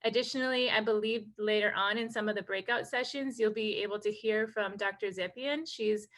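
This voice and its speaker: A woman's voice: medium pitch, a little fast, a bit bright. A woman from North Dakota, a native Hidatsa speaker, giving an informal online presentation in an upbeat, enthusiastic way as a program director. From North Dakota, USA.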